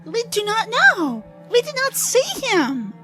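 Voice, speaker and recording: Woman acting young female companion, extremely high pitch, animated intonation, emphatic, indignant, audio drama. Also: slow, faint music behind, exaggerated voice. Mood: indignant, insistent.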